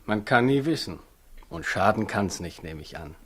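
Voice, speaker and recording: An actor in a 1960s German radio play, playing a good-natured, modest stable tenant with a husky, matter-of-fact delivery. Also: male voice, fairly low pitch, lively intonation, moderate pace. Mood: generous, admiring.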